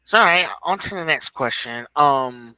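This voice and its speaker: A man hosting a casual conversational interview, rambling and halting as he searches for his words. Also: fairly high pitch, animated, bright, forceful tone, clean tone, no echo, poor audio. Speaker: podcast host interviewer.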